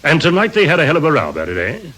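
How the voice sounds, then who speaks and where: An actor playing a brisk, authoritative police inspector who asks probing, clipped questions in a radio crime drama. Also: male voice, on the high side, huge pitch swings, fairly bright tone, breathy edge, exaggerated voice. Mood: pressing, blunt.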